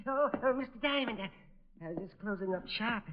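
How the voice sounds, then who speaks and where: A man's voice: very high voice, expressive, brisk. A man playing a polite, flustered shopkeeper in an old-time radio drama, hesitant and slightly nervous. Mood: anxious, polite. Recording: dull, lo-fi vintage radio recording.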